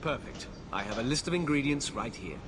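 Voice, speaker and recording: A man's voice: mid-range voice, big pitch swings, fairly quick, crowd noise, lightly acted. A man playing a courteous, businesslike herbalist merchant in a video game. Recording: noisy game audio capture.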